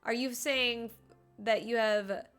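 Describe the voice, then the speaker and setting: A woman giving casual live gameplay commentary as a streamer, excitable, with teasing asides. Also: on the high side, slow, soft background music. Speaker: game streamer.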